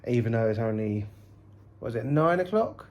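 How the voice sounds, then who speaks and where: A man's voice: mid-range voice, very animated, normal speed, a little mellow. A man with a British accent, giving a casual recap as a travel vlogger.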